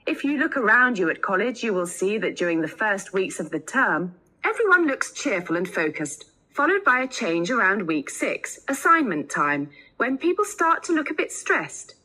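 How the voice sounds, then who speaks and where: Bright, expressive woman giving a scripted lecture. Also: medium pitch, rough recording. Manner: instructional, measured phrasing with rhetorical questions. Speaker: lecturer in a listening test recording. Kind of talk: scripted talk to students, read clearly.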